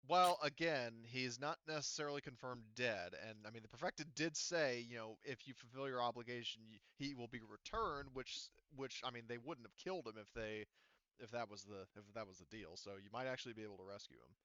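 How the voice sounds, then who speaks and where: A man's voice: mid-range voice, a little fast, pushed and bright, no echo, highs cut off. A man talking casually and informally as a game master, swearing freely as he wraps up a tabletop session. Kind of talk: casual game wrap-up chat.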